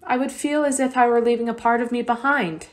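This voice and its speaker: Woman playing an earnest high-voiced young girl. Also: fairly even intonation, brisk, close to an everyday voice. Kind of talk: radio play dialogue.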